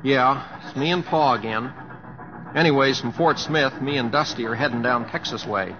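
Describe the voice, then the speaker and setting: An actor playing an easygoing, restless young cowboy in an old radio drama. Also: male voice, mid-range voice, normal speed, close to an everyday voice. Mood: easygoing, resigned. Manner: drawling, plain-spoken, folksy. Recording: dull old radio recording.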